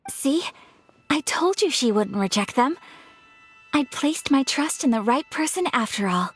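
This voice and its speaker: A woman's voice: high voice, animated, a little slow, slightly rough, faint music behind, exaggerated voice. A woman voicing a gracious, refined young noblewoman in a video game, speaking in a formal, courteous, poised way. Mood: pleased, composed.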